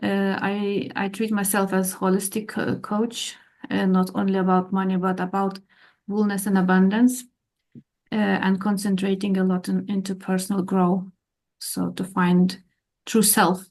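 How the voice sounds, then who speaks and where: A woman's voice: mid-range voice, level tone, unhurried, a little mellow. A woman, a holistic coach, introducing herself hesitantly on a video call. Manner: hesitant, with many fillers. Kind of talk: self-introduction on a group call.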